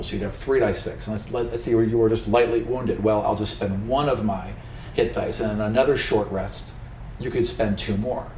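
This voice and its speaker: A man's voice: on the low side, a little mellow. A man working as a game master, explaining a tabletop game world and its rules in a conversational, explanatory way. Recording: roomy, distant mic with faint noise.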